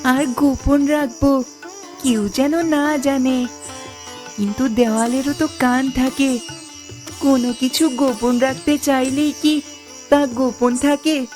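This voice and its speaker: A woman's voice: high voice, steady tone, slow, pauses often, a little mellow, soft background music. A woman voicing a plucky, honest poor village boy in a cartoon family drama. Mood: cautious.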